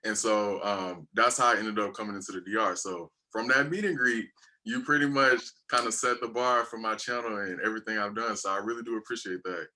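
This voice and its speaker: A young man interviewing a guest, talking in a relaxed, rambling, colloquial way. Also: lowish voice, a little fast, poor audio. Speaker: YouTube interviewer. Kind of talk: casual interview.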